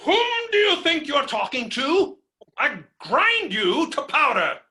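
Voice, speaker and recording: A man playing a pompous, belligerent gentleman creditor in a theatrical staged reading of a farce, blustering and combative. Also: extremely high pitch, sing-song, takes it slowly, full character voice. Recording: home recording over video call.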